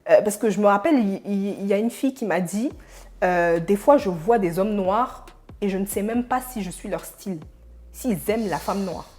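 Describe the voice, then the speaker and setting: A young woman from Senegal, an interview guest, talking casually and pausing mid-thought. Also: mid-range voice, darkish tone. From Senegal, of Burkinabe descent.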